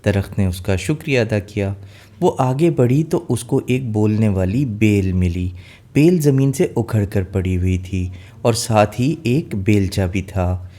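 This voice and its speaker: A man's voice: on the low side, unhurried, dark tone. A man narrating a scripted fairy tale as a storyteller.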